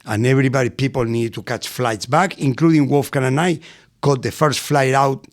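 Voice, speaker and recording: A middle-aged Argentinian man, a guest in an interview, spinning anecdotes with lots of animation and frequent laughter. His accent is clear, and his voice sits at an ordinary pitch for a man. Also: steady tone, normal speed. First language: Spanish. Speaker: restaurant executive guest. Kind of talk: storytelling interview.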